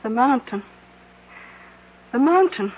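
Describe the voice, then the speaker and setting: A woman's voice: a little high, expressive, slow, slight background noise. An actress playing an earnest, urgent local woman in a radio drama, pleading and emphatic. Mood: shaken. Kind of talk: 1980 radio drama acting. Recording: dull old radio broadcast with music.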